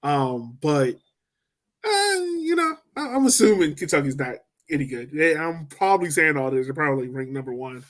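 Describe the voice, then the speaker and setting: Animated, higher-pitched man chatting about sports. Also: normal speed. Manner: joking, informal, slangy. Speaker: podcast host. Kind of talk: casual sports podcast chat.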